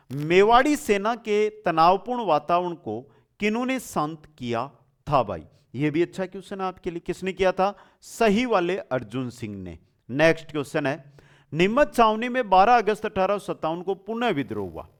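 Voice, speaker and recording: A man teaching an exam lesson in question-and-answer form, repeating answers and addressing students familiarly with rhetorical questions. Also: sits high.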